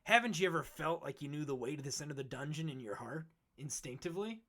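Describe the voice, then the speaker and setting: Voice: medium pitch, lively intonation, fast talker, bright, forceful tone. A voice actor playing a brash, cynical veteran Pokemon explorer in a comic dub, talking in a cocky, slangy, needling way. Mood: impatient mentoring. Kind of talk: animated comic dub acting.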